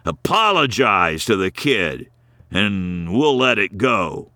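An angry middle-aged father, gruff and bullying, demanding answers, as a man reading a story puts him on. His voice sits a little above the narrator's own and sounds bright and forceful. The recording is close and dry. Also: fairly low pitch, very animated, slow pace, no echo, heavily acted.

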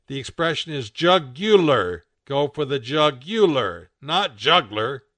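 Exasperated comic-strip guy as a male reader plays him, emphatic, disgusted, bright delivery, dry recording. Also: medium pitch, lively intonation, moderate pace, no room sound. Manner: emphatic, correcting.

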